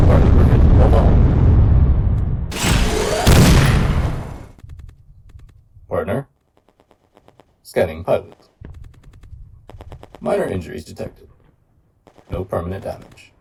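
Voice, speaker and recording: Man acting a loyal war-machine AI, low-pitched, formal and literal, gravelly, audio drama, noisy distant sound. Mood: alarmed, then grave, pleading. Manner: formal, measured, literal-minded. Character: loyal, formal giant combat robot AI, protective partner. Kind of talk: scripted audio roleplay addressed to the listener.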